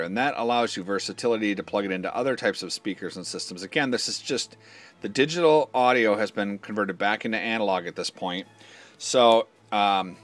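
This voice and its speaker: Low-voiced man giving a hands-on walkthrough. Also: a bit bright. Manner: matter-of-fact, explanatory. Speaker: product demonstrator. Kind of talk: informal hands-on instructional walkthrough.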